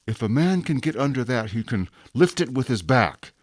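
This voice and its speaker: An actor playing a stern, relentless police inspector in an audio drama, cold and commanding. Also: male voice, medium pitch, big pitch swings, moderate pace, no echo, studio quality. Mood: urgent. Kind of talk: radio drama acting.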